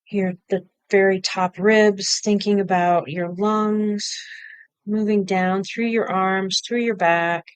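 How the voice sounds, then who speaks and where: A woman leading a guided meditation, giving gentle step-by-step instructions in short phrases with pauses between them. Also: mid-range voice, steady tone, smooth, no echo. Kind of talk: guided body-scan meditation.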